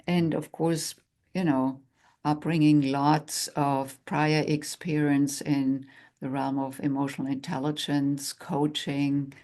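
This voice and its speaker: Older german lady hosting a tantra class, low voice, takes her time with pauses. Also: flat delivery, warm tone. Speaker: tantra workshop co-host. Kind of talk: casual workshop talk.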